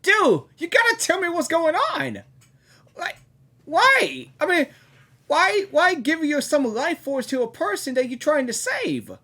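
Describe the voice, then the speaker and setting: High-pitched, animated woman reacting to a game. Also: measured pace. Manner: repeats words emphatically, slangy, addresses characters directly. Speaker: gaming reaction video host. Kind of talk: casual, excitable reaction commentary. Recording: game music faintly behind.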